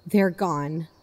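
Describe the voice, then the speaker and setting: An actress playing an earnest investigator who hosts a mystery podcast in an audio drama. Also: female voice, lowish voice, background noise, full character voice. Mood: shocked. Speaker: podcast host character and investigator. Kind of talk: audio drama narration and dialogue.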